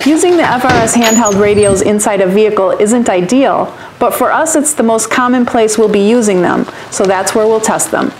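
An American woman in her fifties with a fairly high voice explains gear for a vlog. She speaks in a demonstration style into a lapel mic, with light background noise around her. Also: normal speed, few pauses. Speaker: overlanding channel co-host. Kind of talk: explanatory product demonstration and narration. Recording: some background ambient noise.

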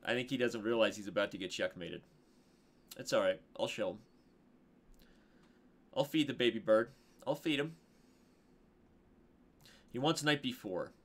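A man in his thirties streaming from a room on a headset mic, commenting on his own game with excitable, jokey asides while working out moves aloud. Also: medium pitch, a little slow, pauses often. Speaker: chess streamer. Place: indoor home streaming room. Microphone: headset microphone.

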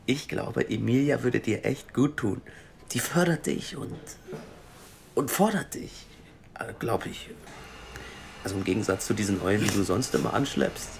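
A man's voice: on the low side, vocal fry, a little hiss or hum. An actor playing a nervous, stammering male hacker in an audio drama. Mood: eager. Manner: stammering, hurried, excitable. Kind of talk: radio drama acting.

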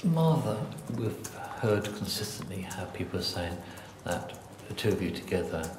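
A man asking calm, careful questions in a formal hearing, with a gentle manner. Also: deep voice, normal speed, echoey room, noisy background.

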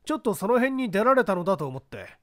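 Man as male office clerk, mystery audiobook, polite, explanatory, high pitch, varied intonation. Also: sing-song, quick pace, fairly bright tone, no room sound, clean studio sound. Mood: matter-of-fact. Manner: deferential, matter-of-fact, explanatory.

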